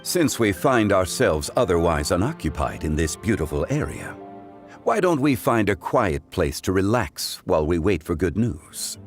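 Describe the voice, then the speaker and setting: An actor playing a composed, erudite, courteous gentleman in a video game, speaking in formal, measured, eloquent phrases. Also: low-pitched, sing-song. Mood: calm, polite. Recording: music behind the voice.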